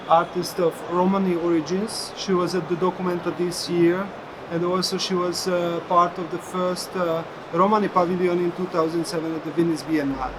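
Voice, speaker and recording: A gallerist explaining an artist's work informally, in a storytelling way. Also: medium pitch. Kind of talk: informal spoken explanation at an art fair. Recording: noisy fair hall background. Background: ambient fair hall noise.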